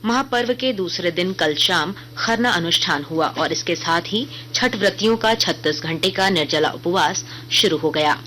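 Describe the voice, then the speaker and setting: Lower-pitched Indian woman reading the news. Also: normal speed, hardly any pauses, background noise. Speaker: radio news reader. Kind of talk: scripted news bulletin reading.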